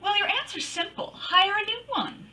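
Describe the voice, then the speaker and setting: A woman's voice: extremely high pitch, lively intonation, moderate pace, sharp tone, full character voice. A woman playing a breezy, wisecracking private detective in an old-time radio drama, with a sassy, slangy delivery. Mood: wry, teasing.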